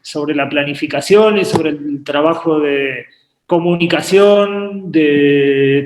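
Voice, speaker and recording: A man from Uruguay raised in Argentina, talking about his work as a painter on a video call, often pausing and repeating words as he thinks. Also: a little high. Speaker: painter and artist guest. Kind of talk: relaxed interview conversation. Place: home painting studio. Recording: echoey room on a video call.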